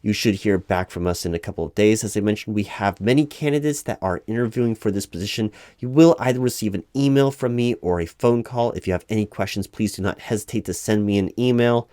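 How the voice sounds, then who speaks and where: A man playing a weary, politely insistent recruiting manager in a one-sided interview roleplay, over-explaining in a halting, repetitive way at normal speaking volume. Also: fairly low pitch, dark tone, no echo, studio quality, close to an everyday voice. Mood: apologetic, firm. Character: exasperated male recruiting manager, polite but increasingly weary. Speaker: job interviewer.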